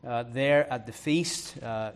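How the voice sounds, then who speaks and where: A man preaching a sermon in an English accent from Leeds, mixed with Irish and American traces. He reads scripture slowly and with weight, and often pauses on "uh" and "um". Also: mid-range voice, clear, resonant tone. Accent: Leeds English, touched by Irish and American. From northern England. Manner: earnest, fills pauses with "uh" and "um".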